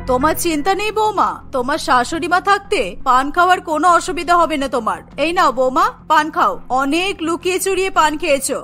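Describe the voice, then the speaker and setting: An affectionate middle-aged mother-in-law from a family cartoon, acted by a woman. Her voice sits high and has a bright, forward edge. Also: lively intonation, brisk, hardly any pauses, soft background music. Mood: friendly, reassuring. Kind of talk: Bengali cartoon voice acting.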